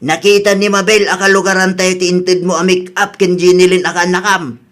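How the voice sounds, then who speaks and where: A man performs a fretful, scolding village husband with a very high, sharp voice. He has an Ilocano accent and plays it as radio comedy drama. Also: little pitch movement, fairly quick. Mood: worried.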